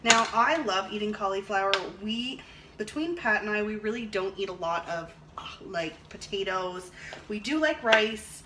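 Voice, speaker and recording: Lady rambling through a cooking demo in her kitchen, thinking out loud, dishes clanking and clicking behind her. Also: mid-range voice, normal speed. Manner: rambling, chatty, thinking aloud. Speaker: vlog host cooking and reviewing a product. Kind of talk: casual chat while demonstrating cooking. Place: home kitchen, indoors. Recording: clattering dishes and clicks, noisy room.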